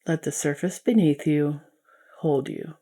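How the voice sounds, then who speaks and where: A woman leading a guided yoga nidra meditation as a teacher, giving gentle, soothing instructions. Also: sits low, unhurried.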